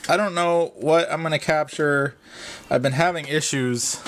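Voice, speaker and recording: Man, thirties, casual vlogger, offhand demonstration, thinking aloud, medium pitch, noticeable background noise and clicks. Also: fairly bright tone.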